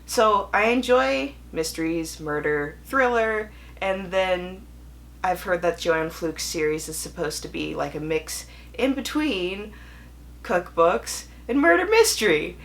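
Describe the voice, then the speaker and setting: Young adult woman, somewhat low pitch, casual vlog chat, animated emphasis, wry self-deprecating asides. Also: slow pace, creaky. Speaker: book vlogger. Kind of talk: casual chatty video to viewers.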